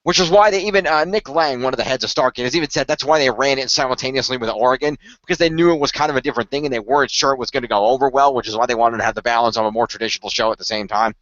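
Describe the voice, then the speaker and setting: A man's voice: mid-range voice, rapid-fire, hardly any pauses, fairly bright tone, highs cut off. A man co-hosting a casual podcast, rambling on in detail with a fan's enthusiasm. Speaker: podcast co-host.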